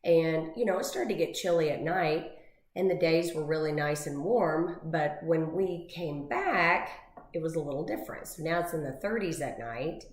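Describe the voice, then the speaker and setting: A woman co-hosting a real estate talk show who is losing her voice, chatting casually and warmly with lots of fillers. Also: low-pitched, moderate pace. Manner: chatty, emotional, rambling with many fillers. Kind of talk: casual chat. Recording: echoey room.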